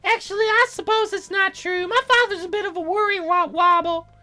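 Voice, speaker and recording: A man's voice: extremely high pitch, fairly even intonation, a little fast, pushed and bright, soft background music, pitched above the performer's own voice, heavily acted. A man voicing a perky, cheeky teenage cloud fairy girl with a sassy, casual, boastful way of talking, for a video game. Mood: huffy.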